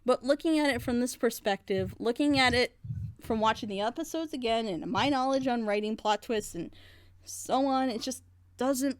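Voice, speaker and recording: A woman's voice: a little high, moderate pace, dry recording. A woman hosting a group reaction video, critiquing a story in a passionate, rambling way. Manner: frustrated, rambling, emphatic. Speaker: reaction channel host.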